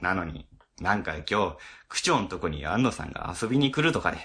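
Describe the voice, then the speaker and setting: Man acting an animated, easily flustered office boss. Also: low voice, a little fast, exaggerated voice. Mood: casual, grumbling. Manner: chatty, easily flustered, ingratiating. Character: flustered, two-faced middle-aged male civil servant boss. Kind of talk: comic web radio drama acting.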